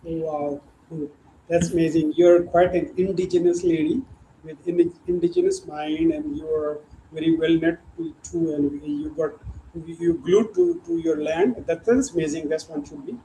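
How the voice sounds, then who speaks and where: A man hosting an interview show, asking questions haltingly and repeating words as he phrases them. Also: on the high side, lively intonation, gravelly, mellow. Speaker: show host. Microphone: remote video call. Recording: echoey room with faint background hum.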